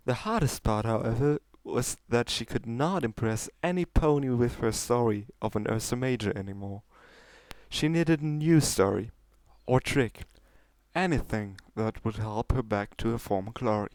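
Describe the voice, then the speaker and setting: A man's voice: mid-range voice, darkish tone, dry recording. A man reading fan fiction as an amateur narrator, moving between casual talk and storytelling.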